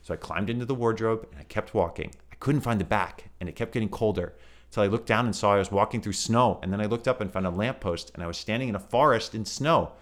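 A man's voice: lowish voice, quick pace, voice pushed up, lightly acted. A man voicing an earnest young girl telling a run-on story. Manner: breathless storytelling.

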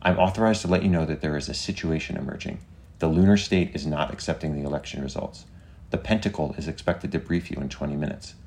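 A man's voice: a bass voice, fairly even intonation, fast talker, darkish tone. A man playing an earnest, deferential young Secret Service inspector with a formal, polite, urgent manner in a story.

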